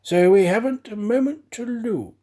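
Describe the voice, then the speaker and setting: A man voicing a composed, exacting gentleman master who gives crisp orders in a storybook reading. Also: sits high, moderate pace, pitched above the performer's own voice. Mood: composed, commanding.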